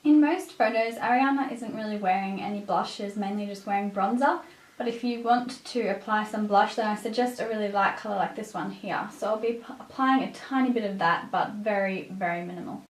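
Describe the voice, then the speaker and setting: Echoey room, raspy woman, a bit under the weather, beauty vlogger, step-by-step tutorial narration, slightly distant mic. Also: medium pitch, fairly quick. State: mildly sick with a cough. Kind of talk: scripted makeup tutorial narration. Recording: roomy echo, distant microphone.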